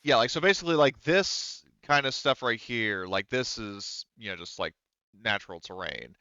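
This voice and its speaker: Guy running a tabletop game, smooth low voice, explains stuff casually, slightly muffled dry audio. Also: moderate pace, sharp tone, no echo. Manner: conversational, explanatory. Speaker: game master.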